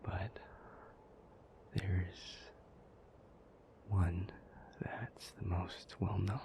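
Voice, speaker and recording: A man playing an ancient, somber, thoughtful demon in an intimate audio roleplay, telling old myths in a breathy, hushed, halting voice with long pauses. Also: deep voice, steady tone, background noise, heavily acted. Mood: solemn storytelling. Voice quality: breathy, whispery.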